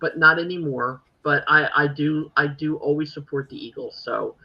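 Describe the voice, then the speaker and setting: Gravelly-voiced Philadelphia woman host. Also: deep voice, normal speed, dull audio. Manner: chatty, rambling, many fillers. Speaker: podcast host. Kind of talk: casual chat.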